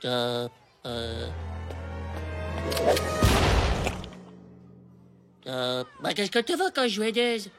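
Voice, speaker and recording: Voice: mid-range voice, big pitch swings, a little slow, over music. A performer voicing a cocky, easily flustered ten-year-old schoolboy in a cartoon, slangy, stammering and defensive. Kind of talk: animated cartoon dialogue.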